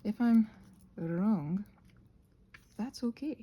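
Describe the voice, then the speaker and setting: A woman hosting a rambling craft ASMR chat with a husky voice, in an expressive, tentative, self-deprecating way that meanders. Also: medium pitch, very slow. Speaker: channel host.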